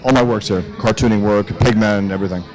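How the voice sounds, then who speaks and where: A man from the Bronx with a New York accent, answering interview questions haltingly with many pauses and fillers. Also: lowish voice, steady tone, music in the background. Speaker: guest cartoonist.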